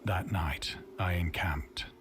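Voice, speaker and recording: Casting: haunted male castaway narrator for a horror game. Very deep register, grave, weary, formal archaic delivery. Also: fairly even intonation, takes it slowly, noisy background. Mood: brooding, grave. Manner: formal, archaic, literary phrasing. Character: haunted male castaway recounting his dread, brooding and weary. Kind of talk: scripted first-person horror narration.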